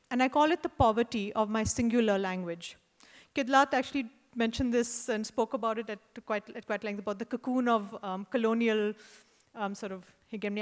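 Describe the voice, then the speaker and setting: Indian-accented woman lecturing in a reverberant hall. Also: on the high side. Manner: measured and deliberate, with wry asides and hesitant fillers. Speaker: artist giving a lecture. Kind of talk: reading a prepared lecture with spoken asides. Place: lecture hall at an art event.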